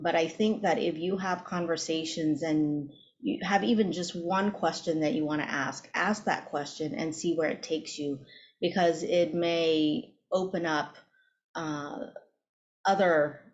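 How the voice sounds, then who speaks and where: A woman author on a panel, speaking in a measured, steady way. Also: low-pitched, highs cut off. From Vietnamese-American. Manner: measured, steady, some ums. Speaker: author guest. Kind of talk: panel discussion.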